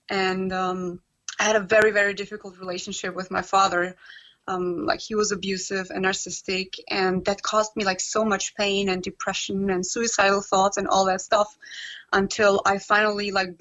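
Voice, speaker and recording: A woman's voice: medium pitch, a bit bright. Young woman guest, upbringing, sincere and chatty, muffled lo-fi audio. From born in Romania, raised in Germany. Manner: earnest, many "um" and "like" fillers. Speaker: guest, personal development coach. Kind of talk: casual interview conversation.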